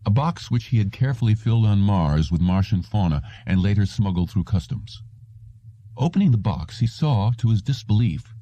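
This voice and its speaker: Deep, very mellow man reading a story. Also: no room sound. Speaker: audiobook narrator. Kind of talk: scripted literary narration.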